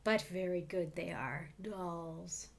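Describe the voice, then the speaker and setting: A woman's voice: on the low side, takes it slowly, gravelly, slight background noise, pitched below the performer's own voice. A woman voicing an odd, greedy storybook creature, fierce and gleeful, boastful and smug in the way it insists.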